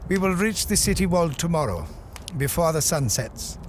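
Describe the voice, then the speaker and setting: An actor playing a sick, wary desert outcast who speaks in terse, solemn, formal phrases in a post-apocalyptic audio drama. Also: male voice, on the high side, lively intonation, moderate pace, natural voice. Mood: subdued, resigned. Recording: loud ambient noise behind the voice.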